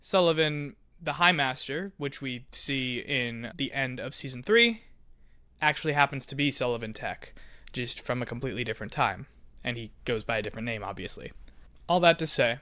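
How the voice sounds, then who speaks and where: A man's voice: mid-range voice, bright, forceful tone, clear, resonant tone, no echo. A man hosting in an informal, self-deprecating way, casually explaining a story to listeners. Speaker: podcast host and creator.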